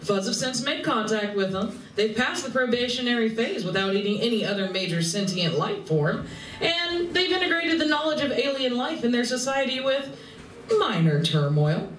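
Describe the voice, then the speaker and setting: A woman's voice: a little high, big pitch swings, normal speed, a bit bright. A breezy, cynical alien hustler , played by a woman in a live comedy radio play. She talks business matter-of-factly and teases casually. The sound is echoey and set back from the mic, with faint noise. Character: breezy, cynical female tentacled alien con artist. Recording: roomy, distant convention recording, faint noise.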